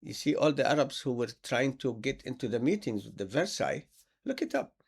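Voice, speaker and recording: Male preacher, gravelly and animated, sarcastic and repetitive. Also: medium pitch, brisk. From Palestine. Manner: sarcastic, repeats words for emphasis. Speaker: Islamic preacher. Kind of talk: impassioned monologue.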